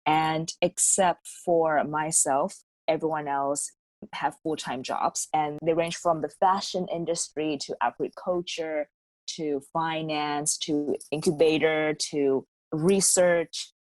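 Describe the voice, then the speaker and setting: A woman's voice: deep voice, fairly even intonation, slow pace, darkish tone, dry recording. A woman student and co-founder explaining how her youth network started, in a casual, explanatory way. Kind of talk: panel conversation.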